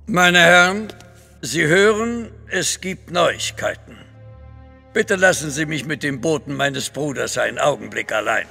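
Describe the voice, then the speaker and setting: An actor playing a formal, authoritative Russian grand duke in a German audio drama. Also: male voice, fairly high pitch, measured pace, vocal fry, pushed and bright, slightly rough, faint music behind, full character voice. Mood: resolute, formal. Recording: long reverb of a large hall.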